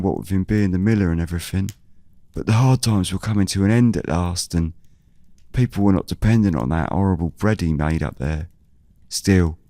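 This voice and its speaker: A man playing a genial, cautious older countryman in an audio drama, with a folksy, unhurried, chatty manner. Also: low-pitched, warm tone, no room sound. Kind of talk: audio drama character dialogue.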